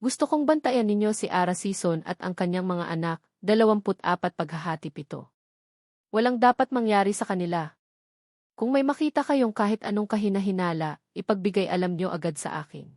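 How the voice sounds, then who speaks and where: A woman's voice: mid-range voice, animated, fairly quick, darkish tone, no room sound, clean studio sound, natural voice. A woman narrator voicing an earnest, protective businessman in love in a romance story. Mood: protective, angry. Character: earnest, protective man in love, a company boss.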